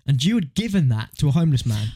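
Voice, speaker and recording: Mellow man, slangy and incredulous. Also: on the high side, sing-song, fast, breathy edge, no room sound, clean studio sound. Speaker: podcast co-host. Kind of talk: casual banter, reacting to submitted stories.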